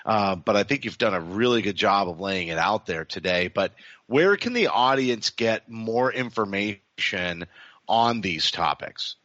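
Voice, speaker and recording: A man's voice: lowish voice, lively intonation, measured pace, a bit bright, dry recording. A man hosting a podcast interview in a polite, conversational way. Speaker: podcast host. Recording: slightly dull, lo-fi audio.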